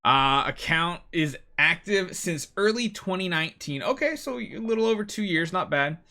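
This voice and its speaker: Bright-voiced man giving gaming advice. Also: high voice, lively intonation, normal speed. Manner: emphatic, repeats key words. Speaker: gaming video host. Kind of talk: casual gameplay advice commentary.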